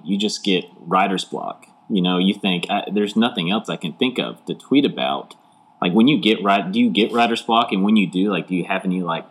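A man hosting an interview, asking questions in a friendly, loose way with frequent "like". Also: deep voice. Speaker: host.

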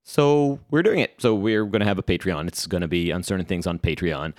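Deep-voiced Israeli man, dry close sound. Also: a little fast, no room sound. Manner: hesitant, restarts sentences, dry humor. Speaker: podcast co-host. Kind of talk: casual podcast chat and promo.

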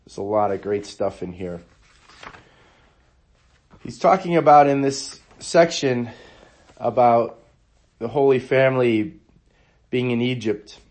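A hoarse man, under the weather, hosting a devotional podcast and reading quotes in an earnest, measured way. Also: mid-range voice, a little mellow. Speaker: podcast host.

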